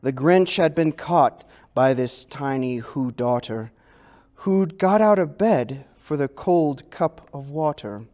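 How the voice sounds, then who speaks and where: A man reading a children's storybook aloud in an expressive, theatrical narrating style. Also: medium pitch, takes it slowly. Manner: theatrical, dramatic. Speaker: storybook reader.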